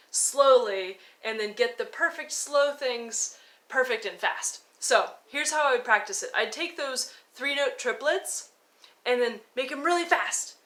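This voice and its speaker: A woman's voice: a little high, pushed and bright. A woman giving an instructional violin lesson, coaching informally and encouragingly as she explains and counts out fingerings. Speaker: violin teacher. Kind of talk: instructional music lesson, talking and demonstrating.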